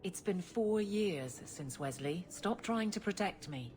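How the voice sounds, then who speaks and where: A proud female guard captain speaks in a fairly low voice for a video game. She is blunt but easily flustered, halting and awkward when romance comes up. There is noticeable noise behind her. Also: animated, darkish tone. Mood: anxious, frustrated. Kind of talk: video game cutscene acting.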